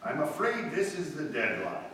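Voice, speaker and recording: A man's voice: a little high, big pitch swings, normal speed, a bit bright. Echoey, wry, breezy society man. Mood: gently bantering. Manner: breezy, bantering. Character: Dexter, wry, teasing, self-assured society ex-husband. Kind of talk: 1940s radio play acting. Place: live staged radio-play performance in a hall. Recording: roomy, echoey distant live stage recording, faint noise.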